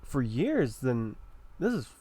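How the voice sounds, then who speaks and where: Slow, halting game streamer. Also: medium pitch, sing-song, dark tone, husky, no room sound. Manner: blunt, halting, sweary. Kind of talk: casual reaction commentary over gameplay.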